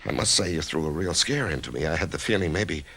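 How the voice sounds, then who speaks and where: An anxious office worker, deep gravelly voice, stammering, radio drama. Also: male voice, animated, exaggerated voice. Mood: tense. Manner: nervous and halting, breaking into shouts. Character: anxious male office worker facing surgery. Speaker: actor playing the lead and narrator.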